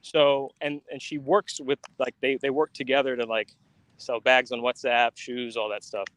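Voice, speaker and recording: Halting man answering a caller. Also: mid-range voice, little pitch movement, a bit bright. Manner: halting, restarts often. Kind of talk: casual conversation.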